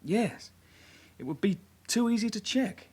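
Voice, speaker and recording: Plain-spoken young detective side-kick. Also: male voice, high voice, lively intonation, a little slow, a little mellow, husky, full character voice. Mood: matter-of-fact. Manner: matter-of-fact, clipped reporting. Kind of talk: radio drama acting.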